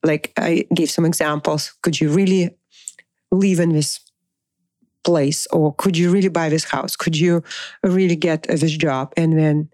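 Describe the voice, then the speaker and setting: A woman's voice: deep voice, steady tone, a little slow, darkish tone, no room sound, studio quality. A middle-aged woman giving an informal, unscripted motivational talk as a dating coach, emphatic and repetitive, putting rhetorical questions to the listener.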